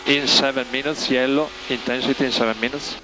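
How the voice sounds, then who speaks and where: A man's voice: mid-range voice, steady tone, brisk. A man working as a race engineer, giving clipped updates over team radio and repeating key information. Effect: team radio transmission. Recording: noisy radio link, car noise. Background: racing car and vehicle noise.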